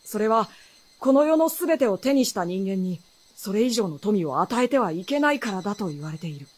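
A woman's voice: medium pitch, lively intonation, a little fast, darkish tone, lightly acted. A woman playing a guarded, knowing adolescent boy in a Japanese fantasy radio drama, speaking in a boyish, matter-of-fact way. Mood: solemn, explaining.

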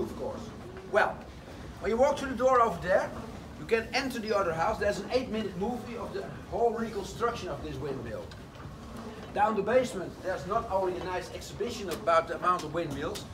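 Guy giving a lively mill tour, recorded from a bit far off, noisy around him. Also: high voice. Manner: lively storytelling, slightly broken English phrasing. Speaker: windmill guide. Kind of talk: guided tour talk. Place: large wooden sawmill interior. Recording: distant voice with noisy background.

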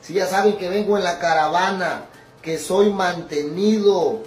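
A man's voice: sits high, unhurried. A young man, ranting casually to camera. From Honduras. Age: young adult. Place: small bare room indoors. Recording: echoey, distant, noisy phone video.

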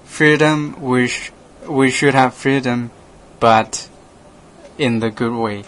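A man from Laos, a native Lao speaker, hosting a radio talk and speaking haltingly with many restarts. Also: medium pitch, fairly bright tone, rough recording. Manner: halting, hesitant, frequent restarts, laughs often. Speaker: radio program host, monk. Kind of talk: casual conversation in English.

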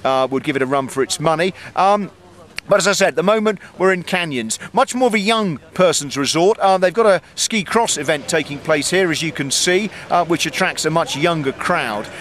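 A man's voice: on the high side, normal speed, a bit bright. A middle-aged man giving a casual snow report to a camera held at arm's length, outdoors on a mountain, talking in a chatty way with many ums and dry asides. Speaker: ski reporter.

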